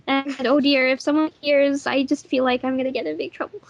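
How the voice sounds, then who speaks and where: A woman's voice: high voice, flat delivery, a little fast, creaky, lo-fi sound. A woman chatting casually on a commentary track as a voice actor and sound editor.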